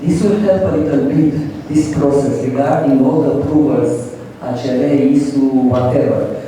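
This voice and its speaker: A man's voice: medium pitch, steady tone, moderate pace, warm tone. A man with a Romanian accent, speaking in non-native English in a panel discussion. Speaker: real estate panel speaker. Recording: very echoey hall, distant, faint noise.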